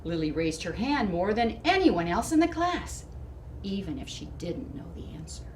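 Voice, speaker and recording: A woman reading a storybook to children in expressive narration, with deliberate, emphatic phrasing. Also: medium pitch. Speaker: storybook reader. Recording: distant mic with clear background noise.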